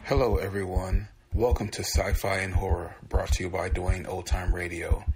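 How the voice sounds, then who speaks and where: A man's voice: deep voice, flat delivery, normal speed, poor audio. A man hosting a podcast, reading a scripted introduction to a show. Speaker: podcast host. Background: music behind the voice.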